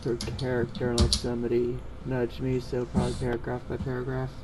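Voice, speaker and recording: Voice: medium pitch, completely flat delivery, brisk. A person chatting casually as co-host of an online fan fiction reading stream. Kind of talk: casual chat. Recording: clearly noisy home stream recording.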